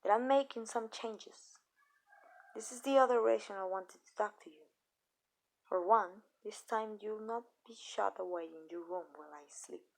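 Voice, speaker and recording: A woman playing a possessive yet tender female vampire mistress in an intimate ASMR roleplay, speaking softly and deliberately with hesitant pauses. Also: mid-range voice, gravelly, lightly acted, low-quality recording. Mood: proud, protective.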